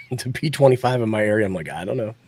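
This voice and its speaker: Fast-talking man, casual chat. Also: on the low side, lively intonation, no room sound. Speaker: podcast panelist and ham radio hobbyist.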